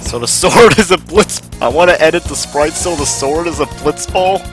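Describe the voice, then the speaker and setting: A man's voice: fairly high pitch, normal speed. A man reading a game's story aloud on a live stream, with casual asides and the odd stumble over words. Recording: game music behind the voice. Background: game music.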